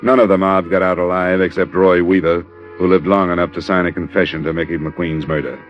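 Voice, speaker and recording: A man plays a hard-boiled American investigator with a deep, deadpan delivery. He talks in terse sentences, as in a vintage radio crime drama. The old recording is dull, with faint music behind. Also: brisk, lightly acted. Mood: grim, tense. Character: hard-boiled male insurance investigator narrating his case. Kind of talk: 1940s-50s radio crime drama narration.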